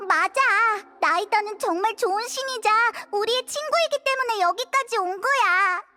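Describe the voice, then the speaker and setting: Game role: a tiny excitable sidekick sprite, piping high childlike voice, bubbly and loyal, music underneath. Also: female voice, normal speed, full character voice. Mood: reassuring. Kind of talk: video game character dialogue.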